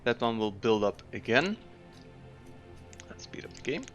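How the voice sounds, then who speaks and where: A man narrates a city-building game in a mid-pitched, everyday voice, thinking aloud at an unhurried pace with plenty of ums and uhs. Soft game music plays faintly beneath his commentary. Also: very animated, muffled recording. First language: Dutch. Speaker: gameplay commentator. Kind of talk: casual let's-play commentary.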